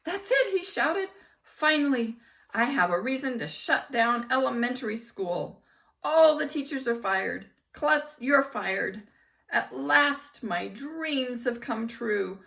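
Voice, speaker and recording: A woman voicing a gleeful, villainous male school official who shouts and cackles like an evil mad scientist. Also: high voice, moderate pace, vocal fry, recorded from a distance, pitched above the performer's own voice. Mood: gloating.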